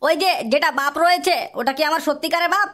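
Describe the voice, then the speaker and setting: A performer voicing a whiny, foul-mouthed young son in a comedy cartoon, with an artificially pitched-up voice. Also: quick pace, sharp tone. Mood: indignant. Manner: whiny, quarrelsome, swearing. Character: aggrieved, foul-mouthed young son pestering parents for money. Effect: artificially pitched-up cartoon voice filter.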